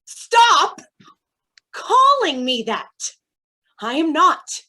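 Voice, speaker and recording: A woman's voice: extremely high pitch, big pitch swings, very slow, bright, forceful tone, heavily acted. An actress playing a ruthless, bitter female crime boss in a staged play reading. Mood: bitter, furious.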